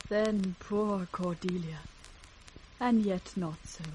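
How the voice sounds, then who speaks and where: A reticent, sincere youngest princess, played by a woman, speaks slowly and with restraint in a British accent. Her mid-pitched voice is quietly resolute, in classic radio Shakespeare style with faint hiss. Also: fairly even intonation, natural voice, poor audio. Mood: quiet, troubled.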